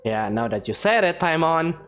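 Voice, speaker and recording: A woman playing a dry, wry young traveler in a video game, deadpan with sardonic asides. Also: deep voice, moderate pace, over music. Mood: casual, dry.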